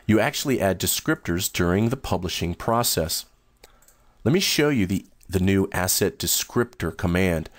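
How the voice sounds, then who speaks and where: A man walking through new software features in a steady, explanatory screen-recorded demo. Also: low-pitched, no echo. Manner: steady, explanatory, first-person demo narration. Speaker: software demonstrator.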